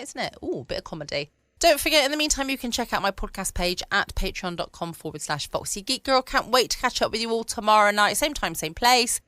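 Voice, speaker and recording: A woman hosts a late-night podcast in a bright, very lively voice. She is chatty, informal and affectionate, recorded close and dry. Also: a little high, no echo. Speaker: podcast host.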